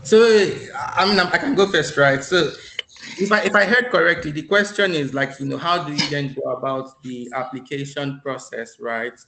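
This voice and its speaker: A man's voice: a little high, normal speed, bright, forceful tone. A man on a panel thinking aloud as he restates the question he is about to answer. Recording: echoey room.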